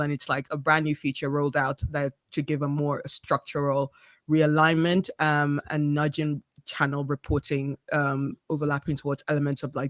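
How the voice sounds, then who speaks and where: A man from Nigeria chatting casually as a co-host, playful and quick to laugh. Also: on the high side, bright, forceful tone, no echo. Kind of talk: casual chat.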